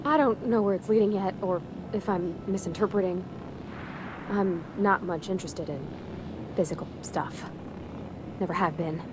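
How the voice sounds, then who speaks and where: An actress playing a sweet, shy young ship mechanic in a video game, speaking with a rural Southern American accent in a folksy, hesitant, earnest way. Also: female voice, lowish voice, normal speed, pauses often, noisy background, close to an everyday voice. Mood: wistful, candid. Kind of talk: video game character acting.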